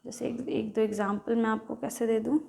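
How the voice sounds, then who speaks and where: A woman's voice: fairly high pitch, steady tone, a little fast, vocal fry, darkish tone. Woman teaching English in an echoey room. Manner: explains in Hindi, mixing in English words. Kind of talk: bilingual classroom lecture.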